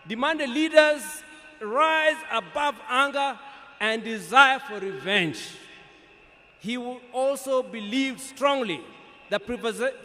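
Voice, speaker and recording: A man with a Kenyan accent reading a formal, solemn scripted eulogy aloud, with emphatic, deliberate phrasing. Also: very high-pitched, bright, forceful tone. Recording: long reverb of a large public address.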